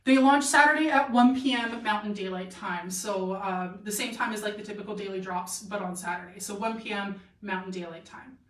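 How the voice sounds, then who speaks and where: A woman's voice: medium pitch, fairly quick, hardly any pauses. Chatty woman in an echoey shop. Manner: chatty, enthusiastic, many fillers, answers viewer comments. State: voice getting tired. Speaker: boutique plus-size model hosting a live try-on stream. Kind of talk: casual live-stream chat. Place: clothing boutique. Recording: echoey room, slightly distant mic.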